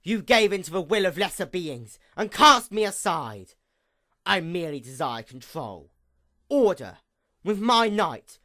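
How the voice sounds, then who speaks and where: Performer as female pony night goddess, villain monologue: bitter, imperious, formal, hugely varied intonation, mid pitch. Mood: bitter, indignant. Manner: grandiose, formal, swinging from outbursts to hushed menace. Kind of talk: dramatic reading, theatrical villain monologue.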